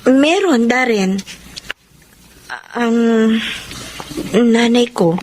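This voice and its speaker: An actress playing a gentle, earnest young Filipino wife and dutiful daughter in a Tagalog radio drama. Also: female voice, on the high side, animated, drawn-out words. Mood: casual, hesitant. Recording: faint background noise.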